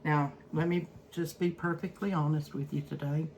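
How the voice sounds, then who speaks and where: An older woman with an Eastern Kentucky accent chats casually and haltingly to viewers in folksy, plain words, recorded at home on a phone. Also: low-pitched, steady tone, a little mellow, dull audio. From Eastern Kentucky, USA. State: feeling weak and unwell. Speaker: home cooking vlogger. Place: at home, indoors in a kitchen. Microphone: iPhone, no separate microphone. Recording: faint background noise, phone built-in microphone.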